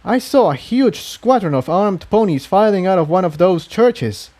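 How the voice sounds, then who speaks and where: A man's voice: high-pitched, expressive, normal speed, darkish tone, pitched above the performer's own voice. A man voicing an earnest, battle-wise female pony in an adventure story reading. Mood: serious.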